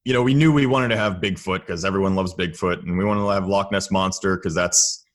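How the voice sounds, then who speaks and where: A man's voice: deep voice, fairly quick. A man talking casually as a guest writer in a podcast interview.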